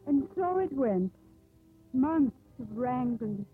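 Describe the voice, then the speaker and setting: An actress playing a strong-willed young ship captain in a 1950s radio drama, speaking in a crisp, commanding way. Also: female voice, high-pitched, lively intonation, slow pace, faint music behind, exaggerated voice. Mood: wistful. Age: young adult. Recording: muffled old 1950s radio recording.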